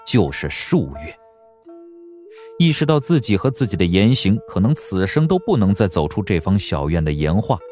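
Deep-voiced, expressive male story narrator. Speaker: audiobook narrator. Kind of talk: dramatic scripted narration of a historical novel.

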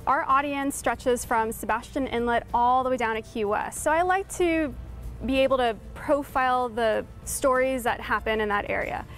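A woman in her thirties, from Chile, talks through her work in a relaxed, friendly studio interview. Her voice sits a little high. Faint music lies under it. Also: moderate pace. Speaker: guest curator. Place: dark TV studio.

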